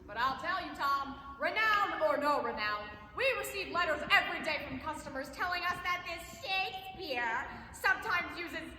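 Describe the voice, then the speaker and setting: Very high, bright, showy infomercial saleswoman played by a woman. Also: expressive, moderate pace, few pauses, faint music behind, exaggerated voice. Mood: gushing, confidential. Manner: emphatic, theatrical, showy delivery. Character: gushing, over-polished infomercial saleswoman pitching a product. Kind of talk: stage acting, parody sales pitch. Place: live stage in a large reverberant room. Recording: echoey hall stage recording, background hiss.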